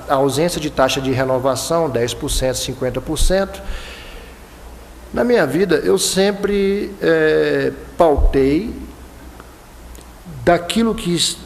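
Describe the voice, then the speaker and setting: A fairly high-voiced man speaks with a Brazilian accent from the border of Minas Gerais and Bahia. His debate talk is emphatic, and his voice jumps up into indignant bursts. A long hall reverb trails behind him. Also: slight background noise. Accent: Brazilian Portuguese, Minas–Bahia border. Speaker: electoral prosecutor in a debate.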